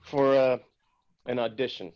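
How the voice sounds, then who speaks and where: A man's voice: mid-range voice, steady tone, unhurried, close to an everyday voice. A pushy male talent agent, read by a man at a table read barks brusque orders. His voice sits mid-range. The audio is dull and muffled.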